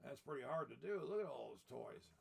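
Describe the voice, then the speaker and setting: A man's voice: medium pitch, lively intonation, brisk, gravelly, noisy background. An older man in the role of Santa Claus reads a picture book aloud, adding folksy, chatty asides as he goes. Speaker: storyteller in the persona of Santa Claus.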